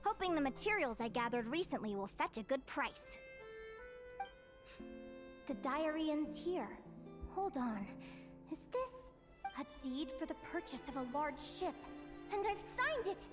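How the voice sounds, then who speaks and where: A woman's voice: sits high, big pitch swings, a little slow, long pauses, music in the background. An actress voicing a cheery young woman adventurer who dreams of owning a ship, bubbly and exclaiming with giggles. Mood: cheerful. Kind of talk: video game voice acting.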